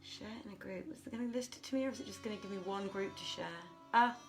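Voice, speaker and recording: A woman with a mid-pitched voice chats casually as she hosts a live stream from a room at home. She talks half to her audience and half to herself, in an apologetic, informal way. Music plays faintly behind her. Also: fast. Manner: chatty, apologetic, muttering to herself. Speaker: host of a live online reading. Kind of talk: casual livestream chat. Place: home room with desk and piano. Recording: livestream with music playing behind. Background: soft background music.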